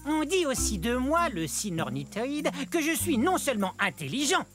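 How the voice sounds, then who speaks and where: A woman's voice: high-pitched, big pitch swings, normal speed, over music. A woman voicing a brainy, self-important little feathered dinosaur who lectures politely and precisely in a children's educational cartoon. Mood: smug.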